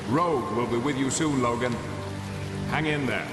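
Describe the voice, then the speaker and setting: A man playing a calm, caring mentor in a video game, speaking in a measured, formal and reassuring way. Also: medium pitch, lightly acted. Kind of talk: video game cutscene acting. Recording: echoing voice under loud music.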